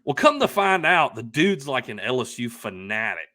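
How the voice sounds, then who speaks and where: A young man co-hosting a podcast, telling a casual anecdote in a rambling, emphatic, excitable way. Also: mid-range voice, normal speed, no room sound, studio quality. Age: young adult. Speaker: podcast co-host telling a story.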